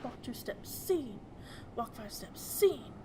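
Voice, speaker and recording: A woman's voice: fairly high pitch, animated, slow, background noise. A woman chatting casually as she plays a game, musing aloud in a playful way. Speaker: game streamer. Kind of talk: casual gameplay chat. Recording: music playing behind the voice. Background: game music.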